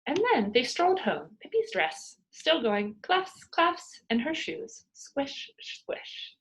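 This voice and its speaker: A woman reading a children's book aloud as a storyteller, with expressive narration for young pupils. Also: sits high, takes it slowly, poor audio.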